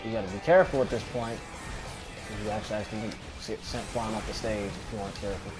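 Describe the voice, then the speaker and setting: A man's voice: fairly low pitch, fairly even intonation, quick pace, loud music behind the voice. A man casually commentating on a video game as he plays, grumbling and swearing. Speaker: gamer commentating on own play.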